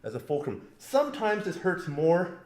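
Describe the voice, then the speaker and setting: A man's voice: high-pitched, huge pitch swings, normal speed, reverberant, background noise. A man teaching grappling moves in a casual, joking way, explaining each step as he demonstrates on a partner. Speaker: grappling instructor. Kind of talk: instructional demonstration. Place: training mat room.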